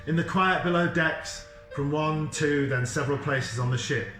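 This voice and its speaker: A man reads a children's story in measured, dramatic short phrases. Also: on the high side, sharp tone, soft background music. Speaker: author reading from a children's novel. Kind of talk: storybook narration. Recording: echoey room sound.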